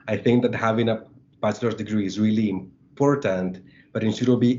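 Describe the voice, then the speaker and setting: A man from El Salvador speaks as an interview guest with a fairly low voice. He comes across as friendly and articulate, eager to talk about his work. The audio is a bit dull and lo-fi. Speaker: guest, computer science instructor. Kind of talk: casual interview.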